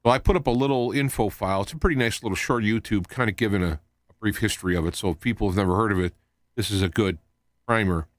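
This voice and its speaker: A man hosting a live talk show, rambling in a halting way as he wanders from point to point. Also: on the low side, dry recording. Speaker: radio show host.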